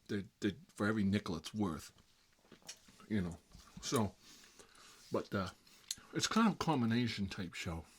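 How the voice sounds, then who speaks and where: A man's voice: on the low side, fairly bright tone. A man with an American accent playing a chatty, hapless fellow who lives with his mother, rambling in folksy, opinionated small talk. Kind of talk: improvised roleplay monologue.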